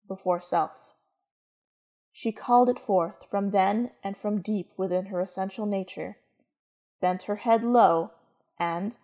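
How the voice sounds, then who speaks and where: A woman's voice: medium pitch, darkish tone. A woman reading scripted story narration in the manner of a radio play.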